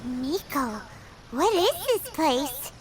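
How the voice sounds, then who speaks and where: A woman voicing a small, childlike fairy companion in an anime-style video game, excitable and easily scared. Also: drawn-out words, full character voice, low-quality recording. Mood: nervous. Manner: squeaky, refers to herself in third person.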